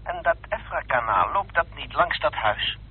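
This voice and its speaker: An actor playing an eager politician husband in a radio play, husky-voiced, brisk, teasing and coaxing. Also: male voice, on the high side, animated. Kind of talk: Dutch radio drama acting. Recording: tinny, band-limited old radio recording.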